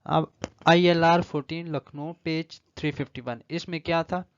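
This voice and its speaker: A man's voice: on the high side, fairly even intonation, normal speed, clear, resonant tone, no echo. Pakistani man lecturing, slightly dull recording. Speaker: law lecturer. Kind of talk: explanatory lecture, reading statute aloud then explaining.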